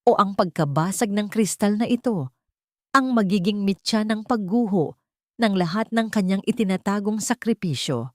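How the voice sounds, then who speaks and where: A woman narrator reading the anxious inner thoughts of a frightened, worn old mother, in a quiet, questioning tone. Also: mid-range voice, normal speed, dry recording, studio quality, pitched above the performer's own voice, close to an everyday voice. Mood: fearful.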